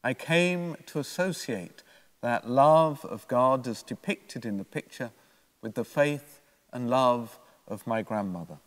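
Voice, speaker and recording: Older priest in a reverberant church. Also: male voice, mid-range voice, animated, slow pace, fairly bright tone. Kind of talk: homily, read from prepared notes. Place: church, at a lectern. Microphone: gooseneck lectern microphone.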